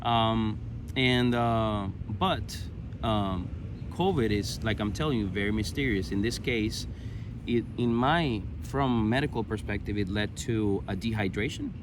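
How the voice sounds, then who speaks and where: A man in his thirties from Venezuela with a Venezuelan Spanish accent, telling his own story conversationally, haltingly searching for words. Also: lowish voice, noisy background. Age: 30s. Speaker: interview guest.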